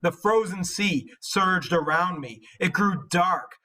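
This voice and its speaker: A man voicing a proud, boastful hero warrior in an epic poem, declaiming with measured emphasis. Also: high-pitched, pushed and bright, distant mic, pitched above the performer's own voice, full character voice. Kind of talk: dramatic epic poem read-aloud.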